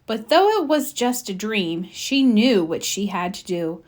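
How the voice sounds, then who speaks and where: A woman reading a children's storybook aloud, with expressive, emphatic narration for young listeners. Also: medium pitch, measured pace. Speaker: storybook reader.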